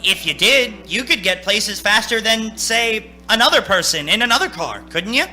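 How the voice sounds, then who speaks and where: A man's voice: high voice, animated, moderate pace, sharp tone, full character voice. An actor playing a haunted, anxious young male driver in a radio play, speaking in a stammering, urgent, theatrical way. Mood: uneasy, probing. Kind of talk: theatrical radio play acting with first-person narration. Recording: stage radio play with music.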